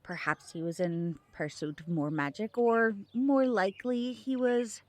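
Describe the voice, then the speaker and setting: A composed, knowing mother played by a woman in an audio drama. She speaks in a measured storyteller's way at a middling pitch. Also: close to an everyday voice. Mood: storytelling. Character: composed, knowledgeable mother from a magical family.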